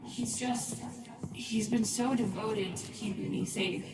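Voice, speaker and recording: An actress playing an ominous, mysterious woman in a video game, speaking in an earnest, cryptic way as she gently pleads and consoles. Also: medium pitch, steady tone, slow, creaky, over loud music. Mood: eerie consoling. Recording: distant echoey voice under heavy noise.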